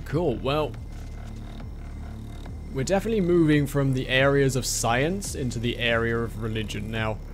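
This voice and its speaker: A man with a flat, monotone voice, giving dry, self-deprecating commentary as he plays a game live. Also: medium pitch, moderate pace, pauses often. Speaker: streamer playing a shooter game. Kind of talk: live-streamed let's play with lore reading. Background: game music.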